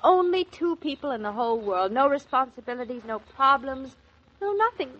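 A playful young newlywed wife, bright and dreamy, with a slightly high voice. She teases and muses in turn. The old radio transcription sounds dull and muffled. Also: lively intonation, moderate pace. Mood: tender. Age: young adult. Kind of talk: 1940s radio acting.

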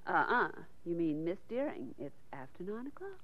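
An actress in a 1940s radio drama playing a detective's eager, admiring secretary, inquisitive and coy. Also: female voice, lowish voice, very animated, moderate pace. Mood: coy, teasing. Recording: muffled vintage 1940s radio recording.

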